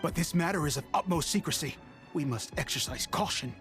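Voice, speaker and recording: A man's voice: fairly high pitch, a little fast, a bit bright, soft background music, natural voice. A man voicing a stubborn male guard in a video game, blunt and challenging.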